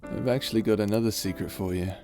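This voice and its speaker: Guy acting a flirty sentimental space pirate, soft confiding asmr roleplay, music behind him. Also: lowish voice, steady tone, fast talker, close to an everyday voice. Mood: tender, uneasy.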